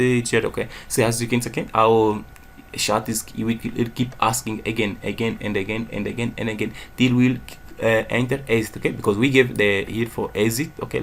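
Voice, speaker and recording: Angolan man, gravelly low voice; screen-share coding tutor who checks in with "okay?" constantly. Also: slight background noise. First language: Portuguese. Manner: says "okay?" after nearly every phrase, thinks aloud while typing. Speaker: programming tutor.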